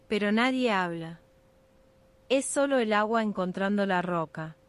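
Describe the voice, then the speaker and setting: Slow-paced woman guiding a sleep meditation. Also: medium pitch. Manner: soothing, unhurried, hypnotic. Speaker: guided meditation narrator. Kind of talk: ASMR sleep meditation, scripted narration.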